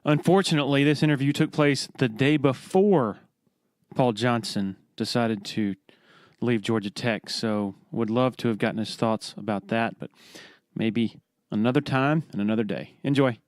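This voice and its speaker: A man's voice: mid-range voice, moderate pace, no echo, clean studio sound. A man hosting a podcast, speaking casually and informally. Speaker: podcast host, interviewer. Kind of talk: casual podcast talk and interviewing.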